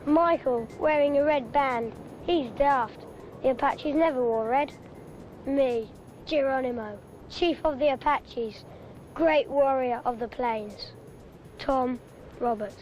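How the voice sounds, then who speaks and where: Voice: high voice, darkish tone, soft background music, low-quality recording. A young performer playing a proud, blunt child narrator in a 1970s public information film. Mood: proud, matter-of-fact. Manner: blunt, unhurried. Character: matter-of-fact child narrator proudly playing Apache chief.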